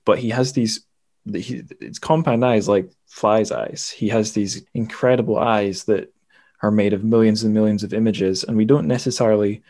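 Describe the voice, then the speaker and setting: Male podcast host, lower register, informal literary chat, halting delivery as he thinks aloud. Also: steady tone, warm tone. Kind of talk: casual book discussion.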